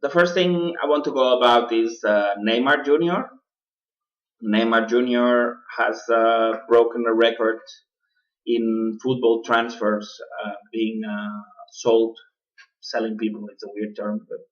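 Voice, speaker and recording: Halting man hosting a radio talk. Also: medium pitch, fairly even intonation. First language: not English. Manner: halting, many uh fillers and restarts. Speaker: radio show host. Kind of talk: political commentary monologue. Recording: distant, dull lo-fi sound.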